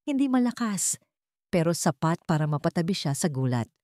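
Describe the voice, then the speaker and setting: Filipina woman narrator, kinda low voice, dramatic story reading, really dry sound. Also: very animated, moderate pace, a little mellow, no room sound. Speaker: audiobook narrator.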